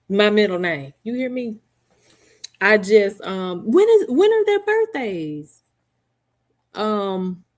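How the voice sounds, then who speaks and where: Middle-aged woman, unhurried livestream host on a headset mic, chatty and laughing, greets viewers by name. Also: fairly low pitch. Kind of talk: casual live-stream chat with viewers.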